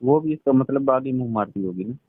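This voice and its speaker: A man's voice: medium pitch, animated, quick pace, no echo. A man with a Pakistani accent, casually asking probing questions on a recorded phone call. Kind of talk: casual private phone chat. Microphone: telephone.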